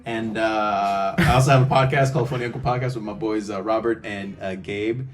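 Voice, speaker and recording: A man's voice: lowish voice, fairly even intonation, brisk, soft background music. A male comedian guest, loose and joking as he plugs his shows in casual podcast chat.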